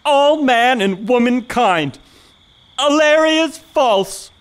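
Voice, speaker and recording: A man playing a jealous, hot-headed young gentleman in a period audio farce, haughty and declamatory. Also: very high voice, lively intonation, takes it slowly, clean tone, heavily acted. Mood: jealous rage.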